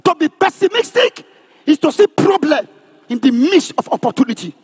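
High-pitched, emphatic male preacher. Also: expressive, moderate pace. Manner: emphatic, declamatory, often shouting; repeats phrases for emphasis. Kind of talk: charismatic sermon with call and response.

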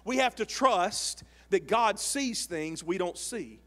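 A man in his forties preaching a sermon on a church stage into a handheld mic, animated and emphatic, rising to shouts. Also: high voice, slow pace. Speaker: pastor. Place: large church sanctuary stage with audience. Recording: long hall reverb.

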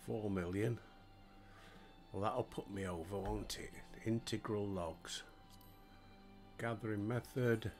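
Older Yorkshire gamer, low, unhurried, self-deprecating, home headset mic. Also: male voice, steady tone, darkish tone, soft background music. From Yorkshire, England. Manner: chatty, self-deprecating, talks to himself while playing. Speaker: gaming streamer. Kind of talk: relaxed gameplay commentary.

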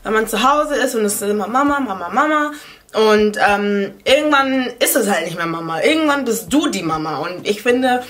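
A woman's voice: medium pitch, expressive, moderate pace, a bit bright. A woman in her twenties, from Ghana, chatting casually to camera at home as a vlogger, dropping playful English asides. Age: 20s. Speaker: beauty and lifestyle vlogger. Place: living room at home, indoors.

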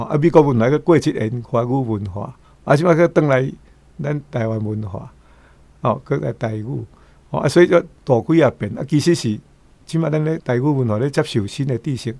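A man's voice: mid-range voice, a little mellow, no echo. An older man with a Taiwanese accent giving casual, rambling commentary peppered with tag particles. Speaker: guest commentator.

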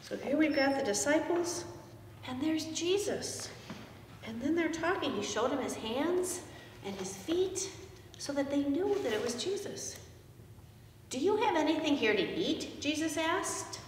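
Echoey, high-voiced woman pastor. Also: a little slow. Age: middle-aged. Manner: animated, simple explanatory delivery with questions to children. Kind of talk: children's message, storytelling from a picture book. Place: indoors in a church, seated before the altar. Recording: echoey church, distant microphone, noisy background.

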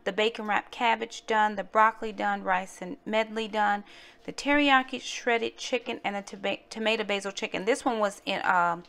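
A woman with a medium-pitched voice narrates a home cooking vlog. She talks in a casual, everyday way, addressing her viewers directly from her kitchen. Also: bright, forceful tone.

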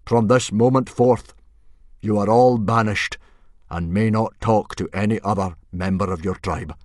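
A man voicing a grim middle-aged Viking chief who makes solemn pronouncements in a booming voice. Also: measured pace, a little mellow, no room sound, clean studio sound, natural voice. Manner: bellowing, grim and formal.

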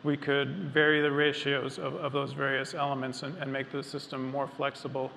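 A middle-aged man presenting to a live audience on a large stage, explaining in a measured way with some ums and restarts. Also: medium pitch, little pitch movement, vocal fry, bright, forceful tone, slight background noise. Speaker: engineering lead presenting on stage. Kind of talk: technical keynote talk. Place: large dark stage before a seated audience. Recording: long hall reverb, faint ambience.

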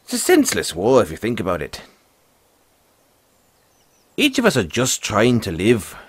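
A man voicing a friendly, philosophical dragon rider and gentle mentor in an audio roleplay, speaking in a folksy, earnest, musing way. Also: lowish voice, huge pitch swings, normal speed, breathy edge, no room sound, heavily acted. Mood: thoughtful, persuading. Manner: folksy, earnest, musing, says "aye" and "lad". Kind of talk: audio roleplay addressed to the listener.

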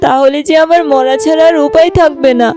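A woman acts out a village mother in a cartoon fairy tale. She has a high voice, caring and quick to scold, with music behind. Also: steady tone, natural voice. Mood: hurt, anxious. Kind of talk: animated fairy tale voice acting.

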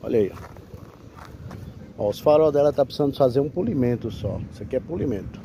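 A Brazilian man with a mellow, relaxed tone and an average pitch talks informally, like a broker pitching a used car. He repeats his key points as he addresses viewers. He is recorded outdoors at a roadside, with faint street noise behind him. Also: fast, muffled recording. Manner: informal, repetitive sales patter. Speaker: used car broker presenting a vehicle for sale. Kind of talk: casual sales walkaround video, addressing viewers.

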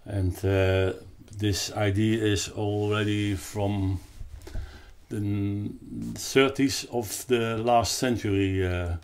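An older man with a Dutch accent explains a product off the cuff, haltingly searching for words. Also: on the low side, steady tone, a little mellow. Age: 60s or older. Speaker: loudspeaker designer presenting his product. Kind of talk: unscripted explanatory product talk. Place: carpeted, wood-panelled listening room indoors.

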